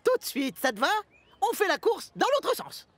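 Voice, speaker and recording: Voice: very high-pitched, wildly swooping pitch, moderate pace, full character voice. An actor voicing a cheeky, mischievous young tank engine in a children's cartoon, with an impish, teasing manner. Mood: smug teasing.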